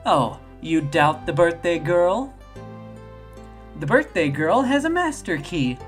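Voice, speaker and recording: A man's voice: on the high side, lively intonation, takes it slowly, faint music behind. A man voicing a perky, sassy, self-assured young female hedgehog in a video game, bubbly and theatrical. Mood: smug, cheery.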